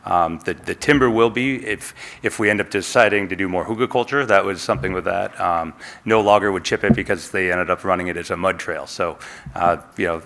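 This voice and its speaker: A low-voiced man at a town meeting explains his plans for his land in a plain, unpolished way. He pauses often, filling the gaps with "um" and "you know" and restarting sentences as he goes. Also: fairly bright tone, a little hiss or hum. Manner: halting, many fillers and restarts. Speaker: applicant landowner. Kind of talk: informal explanation at a public hearing. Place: town hall meeting room.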